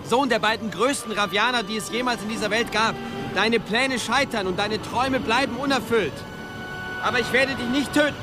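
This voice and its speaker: A man's voice: very high-pitched, normal speed. A man playing a proud, commanding young sorcerer in a fantasy radio drama, solemn and resolute. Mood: cold, resolute. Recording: music behind the voice.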